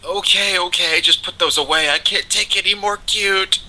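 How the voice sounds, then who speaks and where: Man as put-upon, incredulous everyman in an amateur story reading; piercingly bright, mid-pitched, slight noise. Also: normal speed, poor audio. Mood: exasperated. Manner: weary, incredulous, resigned. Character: exasperated, reluctant male computer owner. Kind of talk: amateur fan fiction reading.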